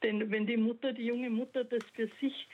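A woman's voice: a little high, level tone, moderate pace. Insistent woman caller, lecturing tone, dull phone sound. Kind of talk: call-in radio comment. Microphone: telephone line. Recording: muffled phone line.

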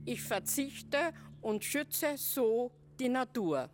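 A woman's voice: a little high, animated, faint music behind. Eager Austrian woman in her sixties. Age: 60s. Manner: quick, eager, with many hesitations. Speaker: amateur stage performer. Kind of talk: casual interview talk.

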